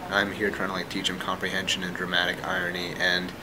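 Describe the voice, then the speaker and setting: A man's voice: sits low, monotone, quick pace. A man of twenty-two, a new teacher, giving a candid spoken account over background noise. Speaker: teacher in training. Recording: clearly noisy ambient recording.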